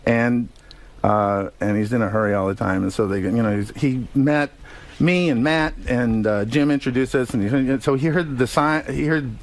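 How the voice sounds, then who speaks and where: A male interview guest with a medium-pitched voice, recounting stories casually. His delivery is halting, full of ums, restarts and corrections as he works through each anecdote. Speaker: radio show guest, TV comedy writer-producer.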